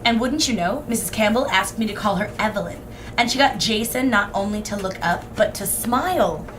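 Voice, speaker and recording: A woman's voice: medium pitch, a little fast, noisy background, close to an everyday voice. A woman playing a friendly, helpful neighbor in an audio drama, with a polite, conversational way of talking. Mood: pleased.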